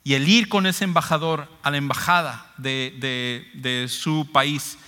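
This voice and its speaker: Latin american spanish guy preaching a sermon, bright forceful voice, kinda high, repeats stuff for emphasis. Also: steady tone, unhurried. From Ecuador. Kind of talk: expository sermon to a congregation. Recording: long hall reverb.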